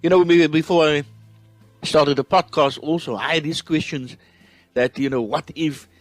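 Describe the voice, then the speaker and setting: A man's voice: fairly high pitch, moderate pace, dry recording, faint music behind. A man with a South African accent hosting a motivational podcast, speaking in an earnest, encouraging way. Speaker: podcast host.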